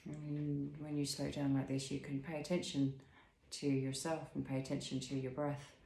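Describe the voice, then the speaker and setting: A man leading a guided body scan as an instructor, speaking in a soothing, unhurried way with pauses and gentle questions. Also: medium pitch, monotone, gravelly, roomy sound, slight background noise, poor audio.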